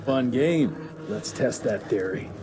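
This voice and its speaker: A man's voice: medium pitch, lively intonation, slow, loud music behind the voice. An actor playing a tough, cocky younger male vampire hunter in a game cutscene, dry and menacing. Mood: mockingly menacing. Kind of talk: video game cutscene acting.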